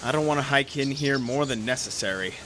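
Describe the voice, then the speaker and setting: An actor playing a wary, dry-humored sidekick who spooks easily, in a radio drama. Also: male voice, medium pitch, a little fast, sharp tone, natural voice. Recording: clearly noisy background.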